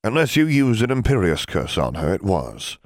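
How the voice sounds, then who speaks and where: A strict, authoritative mother, as a male reader plays her in a storybook. She speaks firmly and formally, with measured reproof, in a close, dry recording. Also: lowish voice, huge pitch swings, no room sound, voice pushed up. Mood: stern. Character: stern, authoritative mother scolding girls.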